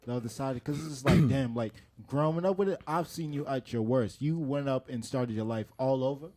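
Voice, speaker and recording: A man from Liberia talks quickly on a relaxed podcast, his words tumbling out at a brisk clip. His pitch sits in an ordinary middle range, and he keeps circling back with "you know what I mean" as he thinks aloud. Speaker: podcast co-host. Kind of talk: casual podcast chat.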